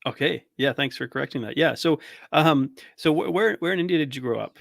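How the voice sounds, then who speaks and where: A man's voice: on the high side, a little fast, vocal fry, no echo, studio quality. A man hosting a casual interview, asking curious, tentative questions and acknowledging the answers often. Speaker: podcast host.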